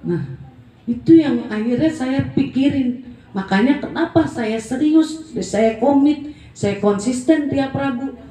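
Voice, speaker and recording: A woman's voice: sits high, lively intonation, takes it slowly, mellow, distant mic. Emphatic woman in her forties, echoey. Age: 40s. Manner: blunt, emphatic, colloquial. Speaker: network marketing presenter. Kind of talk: motivational talk.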